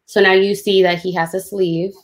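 A woman illustrator talks through a drawing demo on a video call. Her voice sits at a middle pitch. She explains in an informal, self-deprecating way. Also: level tone, moderate pace. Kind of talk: casual commentary on a drawing demo.

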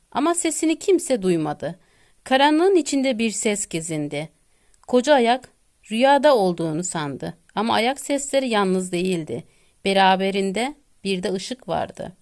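Woman reading a children's bedtime story. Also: mid-range voice.

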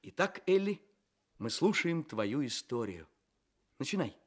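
A man's voice: mid-range voice, big pitch swings, measured pace. An actor playing a courteous, mysterious storyteller in a children's radio play, theatrically addressing his listeners. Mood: courteous, playful. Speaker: narrator, storyteller host. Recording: studio radio play with music.

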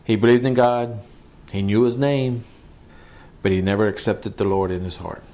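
Guy pastor doing a bible teaching, kinda low voice, talks it through plainly and repeats stuff. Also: steady tone, normal speed, warm tone. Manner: conversational, repeats phrases for emphasis. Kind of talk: Bible teaching sermon with scripture reading.

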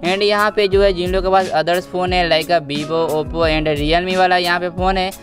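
High-pitched young man over music. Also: steady tone, unhurried. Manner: repetitive, addresses listeners as brother. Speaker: gaming video host. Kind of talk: casual tutorial voice-over. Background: background music.

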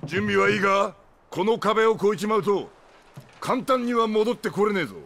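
An actor playing a rough, warm-hearted fighter in a Japanese video game cutscene, speaking in blunt masculine slang. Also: male voice, high voice, moderate pace, a little hiss or hum. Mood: steady. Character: rough, warm-hearted tough male fighter, loyal comrade. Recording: music behind the dialogue.

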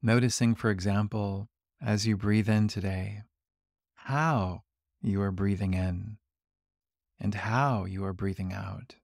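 Male meditation guide: lowish, creaky voice, calm and gentle delivery, leaving long pauses between instructions. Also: no echo, studio quality. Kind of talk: guided body scan meditation.

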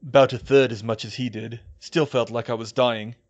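A man voicing a shaken but stoic teenage wizard boy in an audiobook, with a stammering, halting delivery. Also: mid-range voice, steady tone, highs cut off, natural voice. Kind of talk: audiobook character voice.

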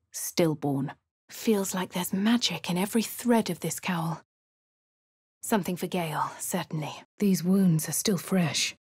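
A woman voicing a refined, posh young adventurer with a British accent, speaking crisp, clipped game lines and narration. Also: on the low side, a little mellow, no room sound, clean studio sound. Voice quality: crisp, well-spoken. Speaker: player character.

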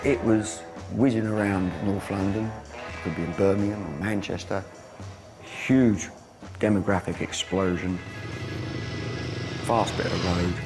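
Deep-voiced man in clipped vivid recall. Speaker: interviewee.

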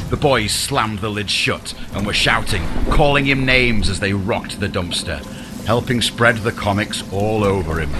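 A man reading a scripted fantasy audiobook in a dramatic, deliberate storytelling manner. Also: fairly low pitch, noisy background. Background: music under the voice.

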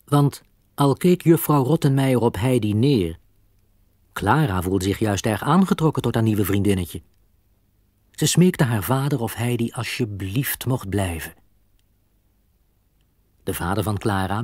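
Expressive low-voiced Dutch male storyteller. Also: measured pace, long pauses, dry recording, studio quality. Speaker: storyteller, narrator. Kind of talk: scripted audio-drama narration.

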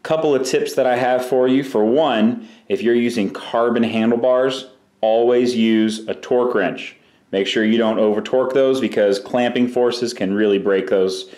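Man, low voice, casual step-by-step repair tips, roomy workshop echo. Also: fairly even intonation, moderate pace, a little mellow. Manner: casual, practical, explains step by step. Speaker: bike mechanic. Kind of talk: hands-on repair tutorial. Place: bike workshop.

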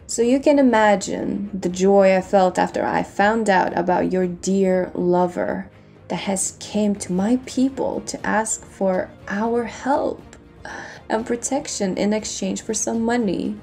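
A woman performs a vengeful villainess in a pirate audio roleplay. She is smug, cold and theatrical, taking her time in a dark, mellow voice with a gravelly creak. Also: mid-range voice, faint music behind. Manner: taunting, theatrical, measured. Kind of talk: dramatic audio roleplay acting.

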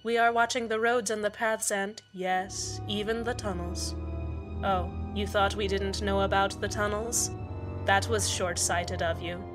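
A woman's voice: medium pitch, fairly even intonation, measured pace, close to an everyday voice. A woman playing a falsely cheerful, menacing community radio host in a scripted audio drama, soothing, condescending and polite. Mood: smug menace. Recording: music bed under the voice.

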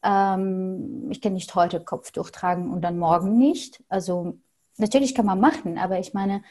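A young woman from Syria, Arabic native speaker, answering interview questions thoughtfully with many pauses and fillers, over a video call from a small home room. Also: mid-range voice, mellow. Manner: thoughtful, hesitant with frequent fillers. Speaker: podcast guest.

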